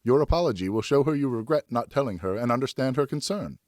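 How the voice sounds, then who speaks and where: A man playing a firm but loving father who speaks in a stern, measured way in an audiobook. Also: mid-range voice, animated, darkish tone, dry recording, studio quality, voice pushed up, natural voice. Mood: patient.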